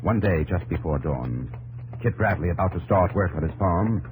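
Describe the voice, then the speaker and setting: A man's voice: low voice, lively intonation, normal speed, rough recording. A man narrating a 1950s radio drama in a dramatic, declamatory scripted style. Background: music.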